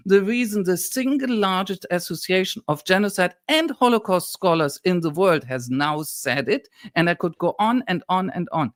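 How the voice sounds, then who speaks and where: A man's voice: high-pitched, dry recording, studio quality. A man with a German accent, speaking as a historian guest in a political discussion, emphatic and lecturing, at times indignant.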